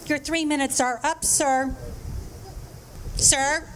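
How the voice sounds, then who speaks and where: A woman's voice: sits high, fairly even intonation, slow. A woman playing an impersonal, formulaic telephone operator in a radio drama. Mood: impersonal, insistent.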